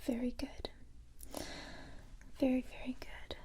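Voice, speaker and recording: A woman whispering softly and breathily in an ASMR roleplay as a gentle but tough special-forces recruiter, speaking in a halting, reassuring way. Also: sits high, level tone, breathy edge.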